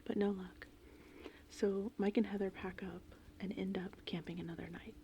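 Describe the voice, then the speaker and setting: A woman doing casual, meandering ASMR in a breathy, whispered and softly spoken voice. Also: medium pitch, normal speed, highs cut off, slight background noise. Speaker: ASMR host. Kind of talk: ASMR rambling movie summary. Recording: steady rain and thunder ambience behind. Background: gentle rain and thunderstorm sounds.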